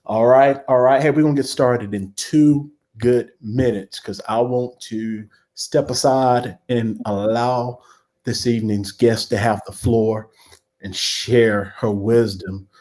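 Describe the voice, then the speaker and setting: A man's voice: fairly low pitch, steady tone, slow, darkish tone. A man, a pastor hosting a church livestream talk show, speaking in a folksy, repetitive way with homespun sayings. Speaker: pastor and host.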